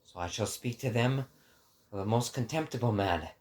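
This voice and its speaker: A man giving a dramatic scripted narration in a low, subdued register, measured and solemn. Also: darkish tone. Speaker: voice actor, narrator.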